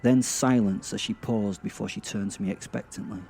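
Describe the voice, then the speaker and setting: A man playing a sardonic, awkward werewolf who narrates in a halting, self-mocking way with theatrical emphasis, in a horror-comedy audio drama. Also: on the low side, fairly even intonation, darkish tone. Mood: uneasy. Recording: clear background ambient noise.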